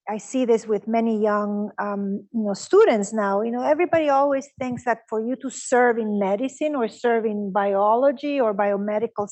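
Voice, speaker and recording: A woman's voice: a little high, darkish tone. A woman raised in Honduras whose first language is Italian, answering questions as a guest scientist in an onstage interview, speaking thoughtfully and often saying "you know.". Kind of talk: onstage interview at a public lecture.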